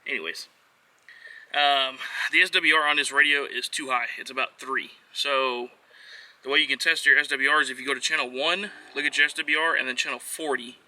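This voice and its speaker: A man's voice: mid-range voice, steady tone, a little fast, sharp tone, no echo. A man giving a casual, unscripted how-to walkthrough as a vlogger, talking in an offhand, hesitant way with trailing phrases. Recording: noisy on-location vlog audio.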